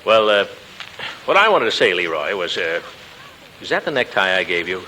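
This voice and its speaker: A pompous, flustered middle-aged uncle in a 1940s radio comedy. His bright voice swings wildly up and down as he blusters and hesitates fondly. The old recording carries faint noise. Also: low voice, sing-song, moderate pace, full character voice, rough recording. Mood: blustery, hesitant.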